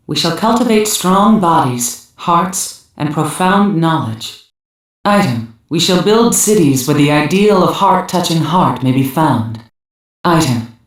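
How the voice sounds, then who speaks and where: In a very echoey, distant recording, a cyborg squad commander with a lowish female voice. She is cool, composed and commanding, handing out terse orders and assessments in dubbed anime game style. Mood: solemn. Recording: roomy heavy echo, off mic.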